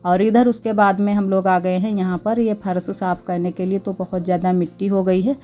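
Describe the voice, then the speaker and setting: A young woman with a sore, slightly hoarse throat, chatting casually as she narrates her daily vlog about household chores at her village home. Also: medium pitch, flat delivery, a little fast, dark tone. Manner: chatty, homely, thanks viewers. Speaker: vlogger. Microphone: clip-on mic.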